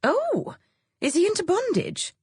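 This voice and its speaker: A woman's voice: extremely high pitch, wildly swooping pitch, a little slow, no echo, voice pushed up, full character voice. A woman voicing a witty, mischievous bohemian female friend in an audiobook, with a dry, teasing, theatrical drawl. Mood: teasing, flirtatious. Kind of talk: audiobook character dialogue.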